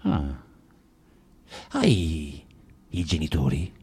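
Casting: tormented, needling confessor, literary drama; soft-edged husky tone, very expressive pitch swings, hesitant and brooding. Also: male voice, drawn-out words, heavily acted. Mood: subdued, probing. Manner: brooding, hesitant, swinging between musing and outburst. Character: bitter, self-tormented man confessing, needling a young woman. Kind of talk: dramatic monologue and dialogue, literary radio theatre reading. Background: music.

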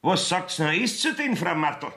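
A man's voice: a little high, very animated, unhurried, sharp tone, full character voice. An actor playing a wily, evasive village judge in a Bavarian dialect radio play, blustering and wheedling as he rambles. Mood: blustering, dismissive.

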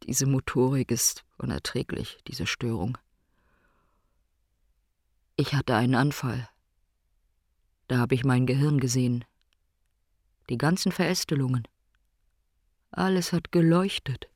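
An actor playing a brooding, philosophical ailing man in a radio play monologue, speaking in deliberate, emphatic short phrases. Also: medium pitch, darkish tone, no echo, studio quality. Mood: haunted, reflective.

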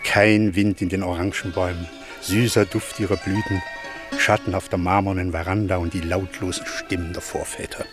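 A man's voice: low voice, flat delivery, normal speed, over music, natural voice. An actor playing an emphatic, opinionated middle-aged Greek townsman in a German radio play, speaking in eloquent, declamatory phrases. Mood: wistful. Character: opinionated Greek townsman, fond of his noisy city.

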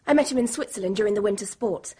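A woman's voice: mid-range voice, rapid-fire, dark tone, husky, lightly acted. An actress playing a timid, innocent-seeming young English woman in a radio drama, speaking in a girlish, hesitant, earnest way. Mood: nervous. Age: young adult.

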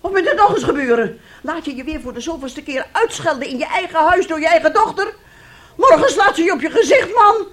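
A woman's voice: very high voice, animated, brisk, fairly bright tone. A domineering mother in a radio drama. Her voice swoops up and down as she wheedles and scolds, full of theatrical self-pity and scheming. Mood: indignant, scolding.

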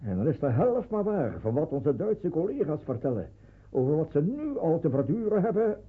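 A husky, worried family father, stern and hesitant, with animated intonation. The radio play sounds thin and tinny. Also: fairly high pitch. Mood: anxious, stern. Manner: halting, stammering in places. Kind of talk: radio drama dialogue. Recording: tinny band-limited old radio play.

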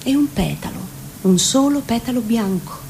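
High-voiced woman reading literary prose aloud. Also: expressive, moderate pace, gravelly, mellow, a little hiss or hum, low-quality recording. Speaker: reciting voice in a radio theatre show.

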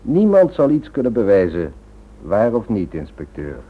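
An actor playing a suave, self-assured and dismissive operative in a Dutch radio drama, with a husky voice. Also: male voice, on the low side, huge pitch swings, moderate pace, exaggerated voice. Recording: thin, phone-like old radio recording.

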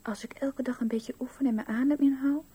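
A woman's voice: high-pitched, steady tone, a little fast, warm tone, husky. An actress playing a naive, candid little girl in a radio drama, speaking in an artless, childlike way. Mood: curious.

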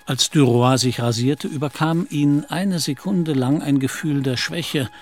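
A man's voice: fairly high pitch, a little slow, a little hiss or hum. A man narrating a German radio play in a scripted, literary storytelling style. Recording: music behind.

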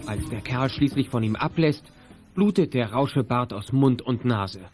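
An actor as the narrator of a suspenseful German youth detective radio play, reading scripted narration. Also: male voice, mid-range voice, expressive, moderate pace, lightly acted. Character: male storyteller of a suspenseful youth detective tale. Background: music.

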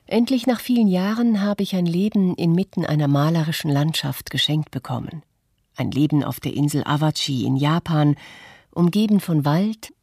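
A woman reading the reflective, measured testimony of a contemplative nature lover in a radio play. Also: low-pitched, creaky, darkish tone, husky, no echo, studio quality, heavily acted. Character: contemplative Japanese woman who moved from city to countryside. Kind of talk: scripted radio-play monologue, read testimony.

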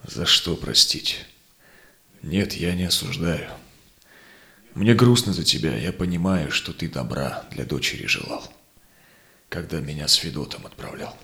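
A man acting a seasoned, honorable Cossack explorer in a verse audio drama, with solemn, declamatory, measured delivery. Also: extremely low pitch, expressive, vocal fry, sharp tone, full character voice. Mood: sad, forgiving. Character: seasoned Cossack ataman explorer, honorable, sorrowful, longing for home.

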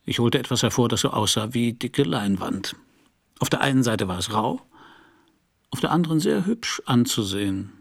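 An actor playing a battered middle-aged private detective, wry, laconic and sardonic, in a noir radio play. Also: male voice, mid-range voice, lively intonation, normal speed. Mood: matter-of-fact. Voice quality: husky, gravelly. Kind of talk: noir radio drama acting and first-person narration.

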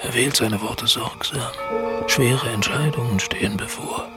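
An actor as a solemn male narrator of a literary radio drama, delivering formal, measured narration. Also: medium pitch, lightly acted. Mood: ominous. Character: solemn, omniscient male narrator of palace intrigue. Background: prominent music.

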